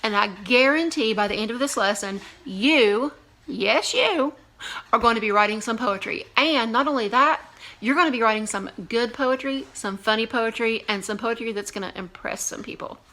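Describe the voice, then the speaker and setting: A woman English teacher giving a video lesson to young students in an animated, conversational way with emphatic repetition. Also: a little high, normal speed, low-quality recording.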